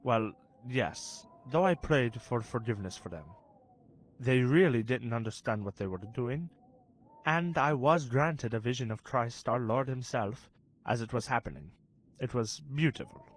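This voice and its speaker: An actor playing a kindly, gently wry young saint who guides and explains, a touch pedantic, in a children's audio drama. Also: male voice, mid-range voice, expressive, unhurried, low-quality recording.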